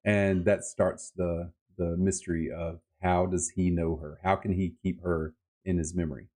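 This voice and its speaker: Low-voiced man, enthusiastic book chat. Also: level tone, moderate pace, a little mellow. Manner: enthusiastic, emphatic, colloquial. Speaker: podcast host reviewing books. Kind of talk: casual book-review chat.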